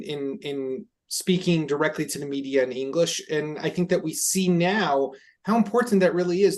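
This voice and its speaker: Brisk, fairly high-voiced male host. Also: darkish tone. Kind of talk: conversational interview.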